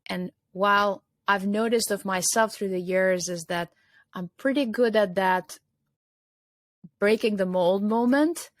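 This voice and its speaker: A woman's voice: mid-range voice, measured pace, no room sound. A middle-aged woman from Albania, a guest in an interview, speaking reflectively with many fillers.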